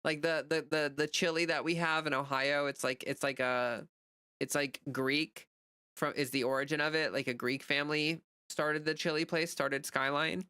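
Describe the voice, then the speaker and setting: A woman's voice: sits low, steady tone, moderate pace, bright, forceful tone, clean tone, no echo, clean studio sound. An American woman livestreamer chatting casually and off the cuff, rambling informally as she answers viewer comments. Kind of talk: casual unscripted chat.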